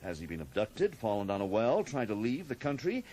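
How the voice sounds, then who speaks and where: A sly, low-voiced American agent. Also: male voice, very animated, warm tone. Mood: teasing storyteller. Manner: sly, hedging, drawn-out emphatic phrasing. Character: evasive, jokey, folksy government agent hinting at secrets. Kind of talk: 1980s radio drama acting. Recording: noisy old radio broadcast recording.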